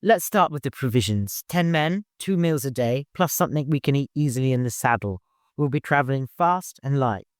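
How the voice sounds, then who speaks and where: A man's voice: medium pitch, animated, moderate pace, dry recording, studio quality, natural voice. A man voicing a dutiful, serious caravan leader with an earnest, courteous manner in an audiobook. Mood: businesslike. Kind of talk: audiobook character voice.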